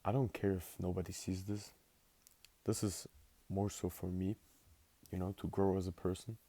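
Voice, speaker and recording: A low, gravelly-voiced young man of eighteen, talking loosely to the camera like he's journaling out loud. His tone is dark and mellow, and he drifts along with plenty of "like" and "um" in English, which isn't his first language. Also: little pitch movement, normal speed, no room sound. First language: not English. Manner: rambling, filler-heavy, laid-back. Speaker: vlogger. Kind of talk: casual spoken journaling monologue.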